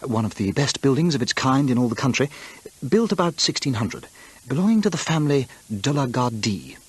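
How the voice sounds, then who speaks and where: A bookish travel writer in a radio play. He is genteel and precise, musing over his discoveries in a very breathy, rough-edged voice. His pitch moves about in an animated way. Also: brisk, no echo. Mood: chatty, enthusiastic. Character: inquisitive English travel writer and amateur antiquarian, bookish, chatty. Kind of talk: radio drama acting and narrated journal.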